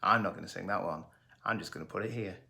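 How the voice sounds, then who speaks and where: A man's voice: deep voice, quick pace, gravelly, noisy background. A man, presenting a scripted, jokey film review. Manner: jokey, sarcastic, enthusiastic. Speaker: movie reviewer.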